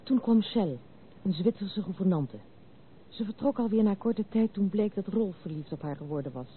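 A woman's voice: mid-range voice, animated, moderate pace, close to an everyday voice. An actress playing a spirited but wounded young noblewoman in a Dutch radio drama, speaking candidly and earnestly in literary phrases. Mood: reminiscing. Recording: narrow tinny old radio recording, faint hiss.

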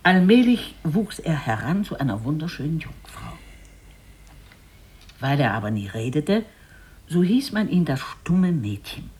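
A storyteller with a husky voice reads a literary tale. The delivery is deliberate and dramatic, with pitch that moves widely up and down. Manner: deliberate, expressive.

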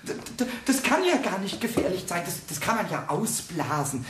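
A mischievous little kobold chatters in a squeaky, scratchy, very high voice. He is excitable and childlike, stumbling eagerly over words and breaking into rhymes. The radio play recording has some faint hiss, and the delivery leaps up and down. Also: moderate pace, reverberant. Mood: eager, wheedling. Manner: stammering eagerly, rhyming, sing-song exclamations.

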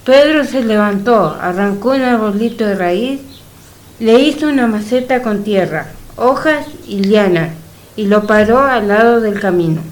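A woman's voice: medium pitch, a little slow. A woman narrating a scripted story for a radio drama.

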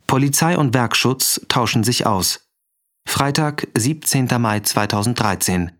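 An actor as a neutral announcer of dates and places in a radio drama, speaking tersely. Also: male voice, mid-range voice, unhurried, fairly bright tone, lightly acted. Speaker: announcer of scene headings.

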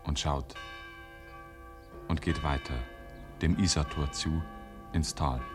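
An actor narrating a 1950s radio play as an earnest, literary storyteller. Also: male voice, very deep voice, steady tone, takes it slowly, over music. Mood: solemn, descriptive. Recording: hissy, noisy 1950s radio recording.

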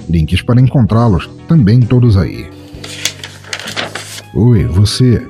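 A man's voice: on the low side, warm tone. A man hosting a podcast, addressing listeners in a scripted, theatrical and wry, wordy style. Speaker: podcast host. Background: background music.

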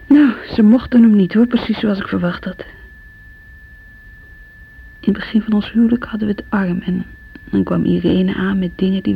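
A woman's voice: mid-range voice, vocal fry, lo-fi sound, a little hiss or hum, natural voice. An actress playing an insecure, wounded woman in an intimate, reflective radio drama monologue. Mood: resentful.

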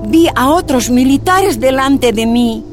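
A woman's voice: sits high, expressive, a little slow, faint music behind. An actress playing a tortured woman activist, recounting her imprisonment in anguished, emphatic first-person narration. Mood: pained.

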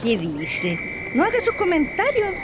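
A woman's voice: extremely high pitch, huge pitch swings, moderate pace, exaggerated voice. An actress playing a bossy, flustered Chilean mother in a radio drama, commanding and fussy with her household. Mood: fussy, scolding. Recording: clear background noise.

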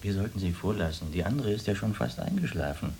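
An actor playing a scarred war invalid in a radio drama, subdued and resigned but considerate. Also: male voice, deep voice, expressive, fast, dark tone, slight background noise.

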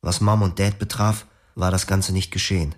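A man narrating his own story in a radio drama in a quiet, reflective way. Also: low voice, level tone, normal speed. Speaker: first-person narrator.

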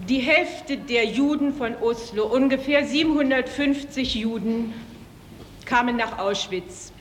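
A woman giving measured courtroom testimony in an archival recording. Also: on the high side. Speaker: trial witness. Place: large courtroom. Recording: reverberant archival courtroom, faint noise.

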